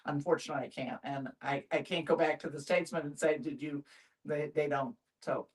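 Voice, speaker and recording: A woman's voice: low voice, fairly even intonation, fairly quick, a little mellow, far from the mic. A woman musing aloud in a group discussion, often repeating and circling back over her phrases.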